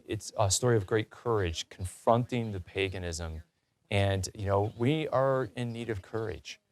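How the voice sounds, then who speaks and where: A man's voice: lowish voice, a little slow. A man hosting a religious talk show in a conversational, earnest and encouraging way.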